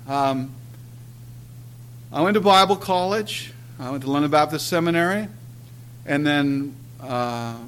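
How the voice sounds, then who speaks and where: A man preaching a sermon and telling his own story, earnest and emphatic, often clearing his throat and pausing on "uh". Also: mid-range voice, a bit bright, slight background noise. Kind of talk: sermon with personal testimony.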